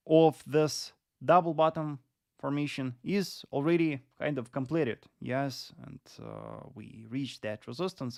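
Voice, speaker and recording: A man in his late twenties hosting a live stream and explaining market analysis in a deliberate manner, often repeating phrases. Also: mid-range voice, creaky, clean tone, dry recording, studio quality.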